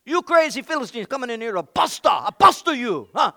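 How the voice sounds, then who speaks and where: A man's voice: very high voice, big pitch swings, a little fast, vocal fry, sharp tone, no echo, pitched above the performer's own voice, exaggerated voice. A man voicing a hot-tempered ancient warrior with a mock Italian accent, taunting and blustering. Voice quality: brash, bellowing, rhetorical "huh?" taunts.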